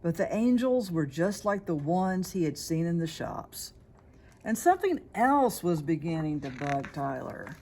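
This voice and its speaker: Female storybook narrator, slow pace, highly varied intonation, expressive read-aloud delivery. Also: slight background noise. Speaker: storybook reader.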